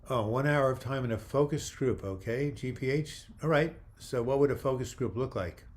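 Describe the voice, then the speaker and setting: An older man hosting a live video chat, reading viewer comments aloud and replying in a friendly, halting way with frequent restarts. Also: mid-range voice. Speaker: livestream host answering viewer comments.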